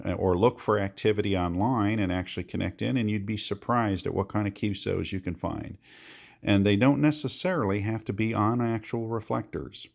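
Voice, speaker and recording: Casual male demonstrator, American, very low register, gravelly fry, patient explanatory repeats. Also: darkish tone. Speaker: amateur radio hobbyist giving a demonstration. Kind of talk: casual how-to walkthrough.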